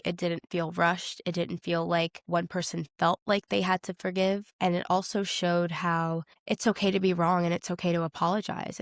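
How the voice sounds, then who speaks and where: Casual woman podcaster with a lower voice. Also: dry recording, clean studio sound. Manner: informal, emphatic, often says like. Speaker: podcast co-host. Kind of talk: casual film discussion chat.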